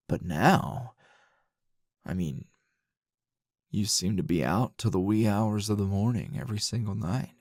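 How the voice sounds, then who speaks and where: A man playing a weary, flirtatious male teacher in an intimate ASMR roleplay, with a languid, dry drawl full of sighs and pauses. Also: sits low, creaky, a little mellow, no echo, full character voice.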